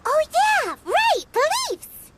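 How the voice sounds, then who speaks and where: A woman voicing a tiny, chirpy, childlike fairy companion in an anime game, with a squeaky, perky delivery. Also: wildly swooping pitch, slow pace, very noisy, full character voice. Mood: explaining, thoughtful. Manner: perky, speaks of herself in third person. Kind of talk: English-language anime game voice acting. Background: music.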